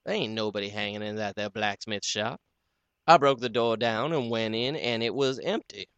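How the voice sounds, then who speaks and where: A man's voice: lowish voice, normal speed, a bit bright, clean tone, no room sound. A man voicing a blunt country man who mocks a neighbor.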